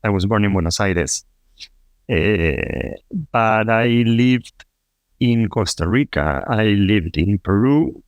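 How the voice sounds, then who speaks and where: A man from Argentina, a fintech co-founder, answering interview questions in a halting way with many restarts and fillers. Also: on the low side, animated, no room sound. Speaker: podcast guest, fintech co-founder.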